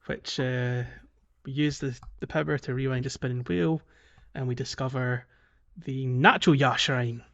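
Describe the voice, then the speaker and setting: A man's voice: mid-range voice, steady tone, a little slow, creaky, fairly bright tone, muffled recording. A man talks casually as a podcast co-host recapping a game. His delivery is conversational and loosely explanatory, and he qualifies his points with small asides.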